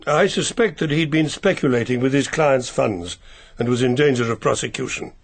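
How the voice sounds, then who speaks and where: An actor playing a discreet family solicitor with an English accent, speaking in a measured, polite, reserved way. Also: male voice, medium pitch, lively intonation, breathy edge. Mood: polite, careful. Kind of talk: radio mystery drama acting.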